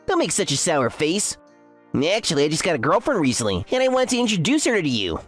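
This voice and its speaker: A woman's voice: lowish voice, sing-song, brisk, soft background music. A woman voicing a mocking, condescending male university student in an anime-style story dub. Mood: gloating. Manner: sneering, condescending. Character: smug, mocking young man, a university underclassman.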